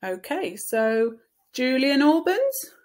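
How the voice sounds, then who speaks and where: A woman reading a story and voicing a brisk, no-nonsense female schoolteacher who firmly instructs a class. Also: sits high, big pitch swings, voice pushed up, heavily acted. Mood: brisk, instructing. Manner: firm, instructing, loud classroom voice.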